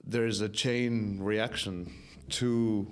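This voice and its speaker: A young man with a fairly low voice speaks as a podcast guest. His Irish accent is toned down with some American colouring. He tells things in a candid, informal way, with slang and swearing. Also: fairly even intonation, slow, smooth. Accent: softened Irish, somewhat Americanized. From Ireland. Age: young adult. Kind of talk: candid casual storytelling.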